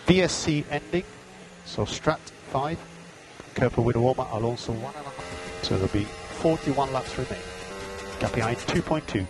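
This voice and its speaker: Calm male race engineer on radio. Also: medium pitch. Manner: steady stream of gaps, times and instructions. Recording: team radio with music behind.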